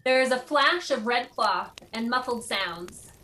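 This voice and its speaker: A woman's voice: on the high side, expressive, unhurried, pushed and bright, a little hiss or hum. A woman reading stage directions as scripted narration, in a plain, declarative manner.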